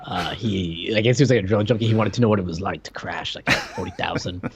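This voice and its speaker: Lively low-voiced man in casual chat. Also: quick pace, a little hiss or hum. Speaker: podcast co-host. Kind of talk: casual chat reviewing an old TV show.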